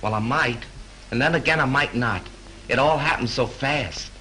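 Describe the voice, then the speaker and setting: An actor playing a chatty young hotel bellhop who tells a story in breezy slang, in 1930s film acting. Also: male voice, mid-range voice, moderate pace, bright, forceful tone, lightly acted, poor audio. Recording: hissy 1930s film soundtrack.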